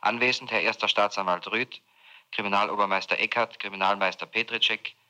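Very bright, formal man dictating protocol. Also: fairly low pitch, fairly even intonation, brisk. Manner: formal, procedural. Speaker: interrogating official.

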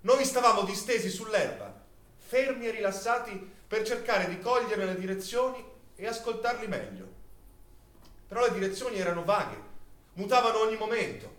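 An actor playing a reflective war witness, telling memories in a measured literary stage monologue. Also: male voice, sits high, expressive, a bit bright. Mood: pensive recollection. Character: reflective witness recalling distant wartime cannon fire. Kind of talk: theatrical monologue, literary storytelling. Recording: echoey stage recording.

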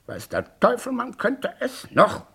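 An actor playing a snobbish, bored businessman knight in a radio crime play, gruff and grumbling. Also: very high voice, wildly swooping pitch, a little slow, a bit bright, full character voice. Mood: bored, bitter.

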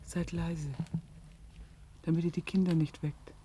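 A woman playing a subdued, pensive survivor in a 1970s radio drama, speaking in a hushed, formal way. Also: low-pitched, little pitch movement, unhurried. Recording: faintly noisy 1970s radio recording.

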